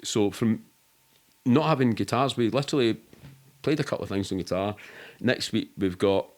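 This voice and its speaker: A man's voice: fairly low pitch, normal speed. A middle-aged Scottish man telling anecdotes casually in a colloquial, rambling, sweary way, as an interview guest.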